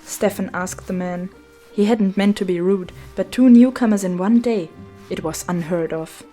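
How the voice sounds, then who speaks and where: Mellow woman narrating a storybook. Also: mid-range voice, moderate pace. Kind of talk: scripted children's storybook narration. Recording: music behind the voice.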